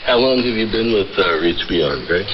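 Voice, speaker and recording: Loud shortwave hiss and noise cover a dull, narrow signal. Through it comes a very deep male voice, an interviewer talking conversationally with a guest on a radio programme. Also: animated, moderate pace. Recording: noisy muffled shortwave radio reception.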